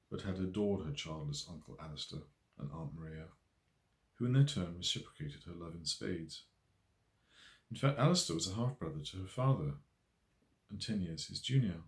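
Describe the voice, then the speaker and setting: Low-voiced male audiobook narrator in an echoey room. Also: lively intonation, brisk, pauses often, darkish tone. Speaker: author reading own novel aloud. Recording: roomy echo.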